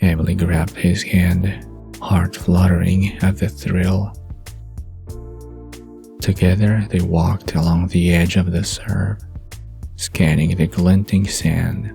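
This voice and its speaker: A man's voice: low voice, level tone, takes it slowly, creaky, long pauses, dark tone. A man narrating a scripted bedtime audio drama as storyteller, with music behind him.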